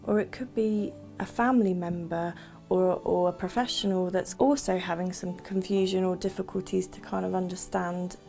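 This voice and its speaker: A woman's voice: lowish voice, fairly even intonation, moderate pace, vocal fry, a little mellow, faint music behind. A woman talking in an explanatory interview style about her work as a support worker.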